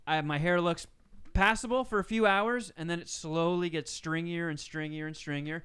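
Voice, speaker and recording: A man's voice: a little high, normal speed, fairly bright tone, clean tone. A young man co-hosting, talking in a hesitant, self-deprecating way. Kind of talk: casual chat.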